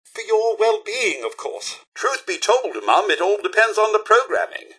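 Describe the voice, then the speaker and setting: A man's voice: high-pitched, lively intonation, normal speed, bright, forceful tone, husky, exaggerated voice. A male actor voicing a prim, fussy robot butler in a video game, with a posh British accent and a theatrical, very polite manner. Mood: polite, concerned. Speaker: companion character in a video game. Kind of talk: video game voice acting, short dialogue lines.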